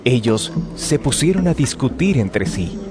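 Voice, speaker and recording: A man's voice: medium pitch, very animated, a little slow. A man narrating dramatized scripture in a scripted audio production. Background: music.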